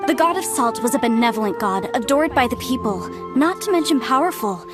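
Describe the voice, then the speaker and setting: A woman's voice: on the high side, animated, fairly quick, natural voice. An actress playing an earnest young woman researcher explaining ruins in game dialogue. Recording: game audio with music underneath.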